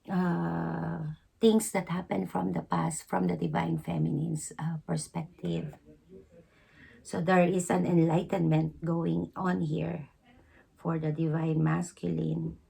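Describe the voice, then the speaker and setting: A woman with a hoarse, deeply gravelly voice gives a tarot reading, her tone low and flat. She talks slowly and haltingly, filling pauses with soft hesitations. Her voice is husky and mellow. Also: rough recording. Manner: slow, halting, many filler pauses. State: losing her voice. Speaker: tarot reader.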